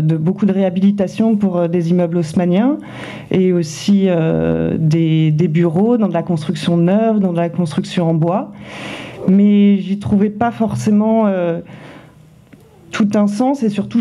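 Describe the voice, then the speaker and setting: Architect woman from the paris suburbs, dark mellow voice, pausey measured talk. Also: fairly low pitch, fairly even intonation. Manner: measured, with frequent pauses and fillers. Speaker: architect and author at a library talk. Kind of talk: presenting her career to an audience. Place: library event room.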